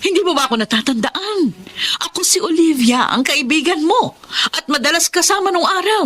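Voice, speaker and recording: An actress with a Filipino accent playing a jealous, hot-tempered middle-aged wife in an emotional, dramatic radio drama style. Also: female voice, very high-pitched, expressive, normal speed, fairly bright tone. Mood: surprised.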